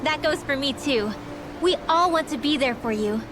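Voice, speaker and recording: A high-voiced earnest young mage girl. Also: expressive, moderate pace. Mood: warm, reassuring. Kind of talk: video game cutscene voice acting. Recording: music behind the voice.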